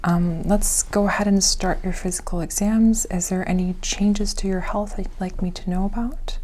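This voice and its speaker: A woman speaking softly and breathily, often near a whisper, gently reassuring in an ASMR medical roleplay. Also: medium pitch, steady tone, normal speed, slight background noise. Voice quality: soft, breathy, partly whispered. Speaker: ASMR creator playing a medical examiner.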